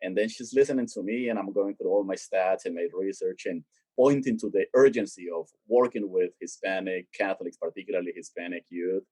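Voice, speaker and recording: Husky man explaining with growing passion. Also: deep voice, a little fast, no echo, rough recording. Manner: grows animated and emphatic when making a point. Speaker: theologian guest. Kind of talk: conversational interview answers. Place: lives in Boston.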